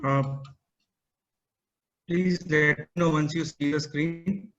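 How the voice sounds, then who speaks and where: Male presenter, flat delivery, filler-heavy, muffled call line. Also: fairly high pitch, slow. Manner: hesitant, many fillers and restarts. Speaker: guest speaker. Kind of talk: online meetup presentation. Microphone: video call.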